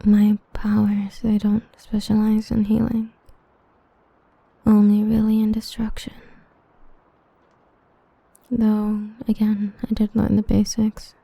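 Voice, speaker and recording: A possessive, dangerous female villain, played by a woman, whispers close to the ear in slow, flat tones. Her voice is dark and gravelly, with husky breath. The sound is dry and intimate. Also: mid-range voice, no echo, exaggerated voice, rough recording. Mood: tender. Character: obsessive, protective female villain with destructive powers. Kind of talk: ASMR roleplay, whispered.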